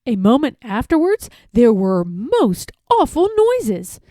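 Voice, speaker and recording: Expressive woman reading a children's story. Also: fairly high pitch, slow pace, no echo, studio quality.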